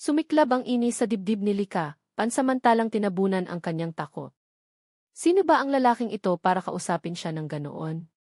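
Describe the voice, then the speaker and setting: A woman's voice: medium pitch, animated, brisk, darkish tone, dry recording. Woman narrating drama, dry close sound. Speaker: story narrator. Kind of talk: scripted dramatic narration of a Tagalog love story.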